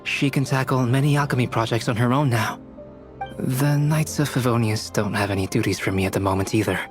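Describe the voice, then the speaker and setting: A man voicing a calm, thoughtful young alchemist in a video game, soft-spoken, measured, polite and reflective. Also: mid-range voice, soft background music, lightly acted.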